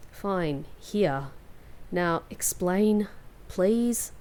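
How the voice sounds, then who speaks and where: A woman playing a sarcastic, animated young heroine. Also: fairly low pitch, extremely slow. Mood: bewildered. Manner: sardonic, wry, outspoken. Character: sarcastic defiant young woman held captive by fae. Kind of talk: fantasy comedy audio drama acting.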